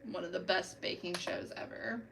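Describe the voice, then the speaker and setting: A woman's voice: on the low side, steady tone, normal speed, creaky, fairly bright tone, distant mic. A woman chats away to her live viewers while she works on a manicure, talking through every step as she goes. Her voice sits a little off the mic. There is a faint hum of room noise behind her. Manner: chatty, self-narrating, talks to chat while working. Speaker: livestream host doing nail art. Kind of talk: casual chat with live viewers. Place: home, doing a manicure.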